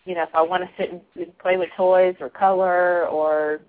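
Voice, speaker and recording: A soft-spoken woman talking reflectively in a casual group discussion, laughing now and then. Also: lowish voice, flat delivery, normal speed. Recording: tinny phone-like line.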